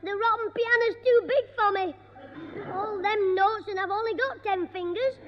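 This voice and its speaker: A man's voice: very high-pitched, normal speed. A man playing an impudent, wisecracking young schoolboy in a 1960s radio sitcom. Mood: cheeky. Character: cheeky cocky young schoolboy. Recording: dull noisy old radio recording.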